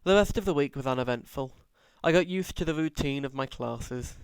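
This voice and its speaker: A man's voice: mid-range voice, a little fast, clear, resonant tone, dry recording. A man narrating an audiobook as an amateur reader, sarcastic, with mocking, exaggerated emphasis.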